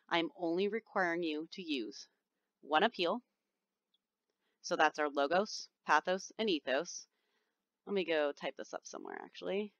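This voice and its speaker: A woman's voice: on the low side, no echo, dull audio. A woman teacher with a somewhat whiny voice, recording casual step-by-step instructions for her class on her computer microphone, with frequent ums. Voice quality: whiny, nasal-sounding voice. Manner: chatty, reassuring, explains step by step with frequent ums. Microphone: computer microphone, screen recording.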